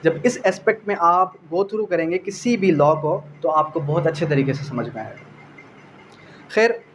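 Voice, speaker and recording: A man's voice: a little high, expressive, normal speed, noisy background. A man with a Pakistani accent giving an explanatory law lecture, didactic, posing questions and answering them, mixing in English terms. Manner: code-switching between Urdu and English legal terms. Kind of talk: explanatory exam-prep lecture.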